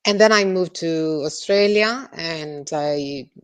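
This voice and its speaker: A woman's voice: deep voice, takes it slowly, smooth, no echo. A woman, answering interview questions as a psychologist, hesitant with many fillers. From Italy. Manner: hesitant, many ums and uhs. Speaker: guest psychologist.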